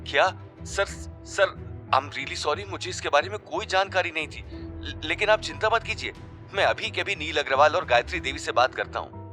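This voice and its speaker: A bright, eager, deferential underling. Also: male voice, fairly high pitch, animated, moderate pace, faint music behind. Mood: nervous, apologetic. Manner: obsequious, eager. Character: deferential, eager, nervous male business subordinate. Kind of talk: Hindi audio drama acting.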